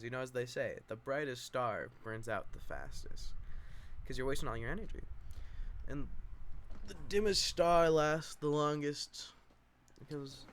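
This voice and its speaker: A man's voice: mid-range voice, slight background noise. A man rambling casually to viewers as an amateur online reader, self-deprecating and given to swearing.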